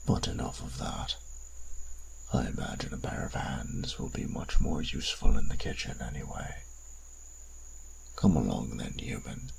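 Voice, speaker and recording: An extremely low, crackling male creature of darkness, from a fantasy audio drama. He speaks slowly and with arch, teasing politeness, sliding into breathy whispers with a quietly sinister edge. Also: full character voice. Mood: veiled menace, amused. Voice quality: very deep, gravelly, breathy near-whisper. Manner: formal, measured, archly polite, teasing. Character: sinister, courtly, teasing dark magical being, male. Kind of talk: fantasy audio roleplay acting.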